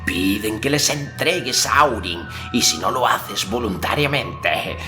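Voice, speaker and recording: A man's voice: on the low side, lively intonation, measured pace, over music, voice pushed up. A man voicing a frightened, exhausted young faun messenger, out of breath, stammering and urgent. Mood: breathless alarm.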